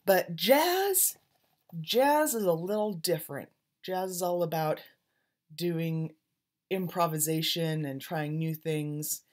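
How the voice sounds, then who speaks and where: A woman teacher reading a picture book aloud in an expressive, rhythmic storytelling style, leaning on the rhymes and beats. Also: on the low side, slow.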